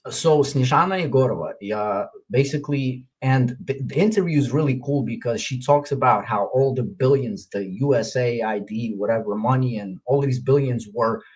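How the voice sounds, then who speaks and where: Husky Ukrainian-born podcast host, rambling. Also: male voice, mid-range voice, moderate pace, few pauses, a little mellow, rough recording. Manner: rambling with many fillers and restarts. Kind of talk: casual interview chat.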